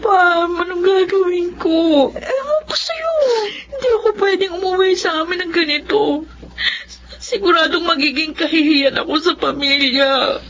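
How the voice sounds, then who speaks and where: A woman's voice: very high voice, lively intonation, a little slow, a bit bright, dull audio, slight background noise, exaggerated voice. A woman playing a hardworking, guilt-ridden young Filipina migrant mother in a drama, with a Filipino accent. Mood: distraught, crying. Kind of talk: Filipino dramatic radio-style acting.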